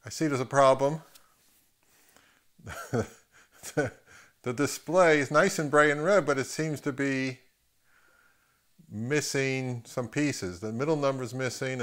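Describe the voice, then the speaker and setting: Male review host, medium pitch, casual and unscripted, halting think-aloud delivery. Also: a bit bright. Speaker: host of an audio gear video channel.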